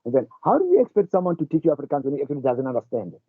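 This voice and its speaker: A man from South Africa, quickly recounting his school memories as a panelist. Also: a little high, big pitch swings, husky, no room sound. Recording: tinny phone-like line.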